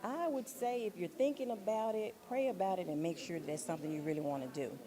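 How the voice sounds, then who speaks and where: A woman giving earnest, deliberate interview answers as a director and playwright. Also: medium pitch, mellow. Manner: earnest, faith-minded, deliberate.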